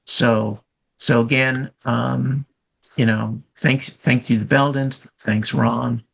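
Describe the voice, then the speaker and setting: Earnest gravelly man facilitating. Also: mid-range voice, steady tone, slow, fairly bright tone, poor audio. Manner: earnest, repetitive, with thankful asides.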